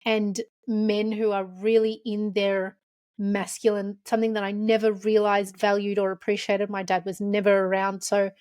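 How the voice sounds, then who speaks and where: A woman's voice: medium pitch, little pitch movement, normal speed, darkish tone, clear, resonant tone, dry recording, clean studio sound. A woman from Lebanon hosting a podcast, talking openly and reflectively in casual chat. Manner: open, emotional, reflective. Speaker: podcast host.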